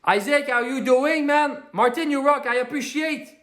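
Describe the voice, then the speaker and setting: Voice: high-pitched, moderate pace, roomy sound. Someone doing a ranting Québécois hothead. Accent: Québécois French. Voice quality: crude, swearing, broken English mixed with French. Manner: loud ranting, self-styled Quebec Hulk. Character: hot-headed working-class Quebec man who is angry all day. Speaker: character comedian on a livestream. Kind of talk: ranting comedy livestream, answering viewer comments.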